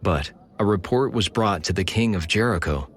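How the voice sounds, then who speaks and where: Low-voiced male scripture narrator. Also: expressive, moderate pace, a bit bright, slightly rough. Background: music.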